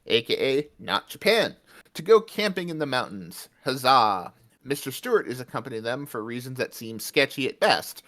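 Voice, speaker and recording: A man co-hosting a podcast, running through an episode summary in a loose, jokey tone. His pitch sits in a middle range for a man. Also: fairly bright tone. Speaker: podcast co-host. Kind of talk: casual podcast recap.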